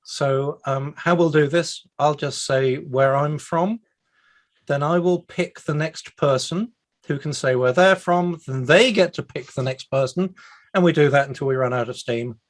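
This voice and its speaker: A man's voice: a little high, a little slow. A man from the UK hosting an online meetup over a video call, chatty and self-deprecating. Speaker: meetup host. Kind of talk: casual online meetup chat.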